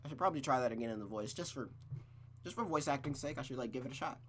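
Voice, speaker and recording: A man's voice: medium pitch, animated, racing. A man streaming a visual novel, narrating it aloud like a storybook and adding casual asides.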